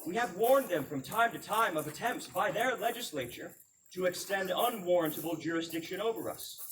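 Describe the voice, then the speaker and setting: A man reenacts a solemn colonial American statesman proclaiming to the public in a high, projected voice. The delivery is formal and oratorical, with deliberate phrasing. It is picked up from a distance at a live event, with faint noise. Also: a bit bright. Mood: grave, measured. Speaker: historical reenactor.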